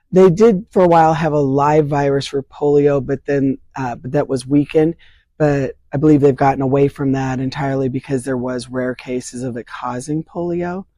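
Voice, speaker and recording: An older woman with a hoarse voice talks through health questions in an earnest, plain-spoken way, reaching for simple analogies. Her tone is mellow and soft-edged. The sound is dry and close, with no room around it. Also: low voice, no room sound. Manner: earnest, explains with vivid analogies. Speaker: state leader of a vaccine advocacy group. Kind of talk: explanatory interview answers. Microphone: remote interview from home.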